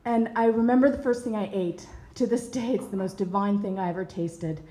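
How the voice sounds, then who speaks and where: A woman's voice: medium pitch, steady tone, echoey room, poor audio. Earnest woman telling a personal story. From Bosnia and Herzegovina. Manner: earnest, measured, with soft hesitations. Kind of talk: personal story told to an audience.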